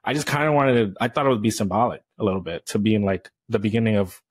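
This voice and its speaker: Young male host, lowish voice, casual and hesitant with many fillers, dry close mic. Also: quick pace, slightly rough, no echo. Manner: hesitant, loose, filler-heavy questioning. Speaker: podcast host. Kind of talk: casual chat interviewing. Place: small indoor room, on a couch. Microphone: handheld microphone.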